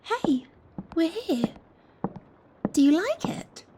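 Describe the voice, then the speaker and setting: A woman playing a gentle, possessive giant vampire in an ASMR roleplay, coaxing and softly commanding the listener. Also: extremely high pitch, big pitch swings, background noise, heavily acted. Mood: reassuring, persuasive. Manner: slow, coaxing, softly commanding, breathy sighs and chuckles.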